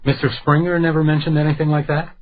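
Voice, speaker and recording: An actor playing a polite, probing hotel house detective in a radio drama, questioning in a measured, courteous way. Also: male voice, fairly high pitch, level tone, narrow, tinny audio, slight background noise, close to an everyday voice.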